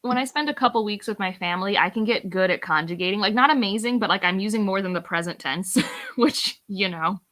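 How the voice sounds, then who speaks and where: A woman in her thirties, raised in the United States, chats casually as host of a book club stream. She talks in a loose, self-mocking way and laughs often. Her voice is bright and clear. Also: medium pitch, fairly quick. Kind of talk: casual chat.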